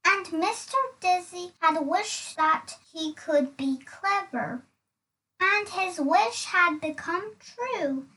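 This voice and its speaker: A woman reading a children's story aloud in an expressive, deliberate way. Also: very high voice, distant mic, poor audio. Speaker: storybook reader.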